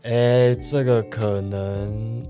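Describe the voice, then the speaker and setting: A reserved, hesitant man in his forties played by a young male actor speaks low in a roomy, echoing space, with guitar music behind him. He is a wary, secret romantic from a radio drama. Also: steady tone, drawn-out words. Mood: anxious, hesitant. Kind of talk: radio drama acting with inner monologue.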